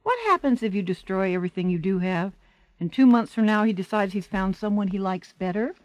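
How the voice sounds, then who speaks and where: A woman's voice: medium pitch, lively intonation, a little fast, no echo, close to an everyday voice. An American actress playing a worldly, wisecracking stage woman in a radio drama, tart and blunt. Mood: cautioning. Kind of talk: 1980s radio drama acting.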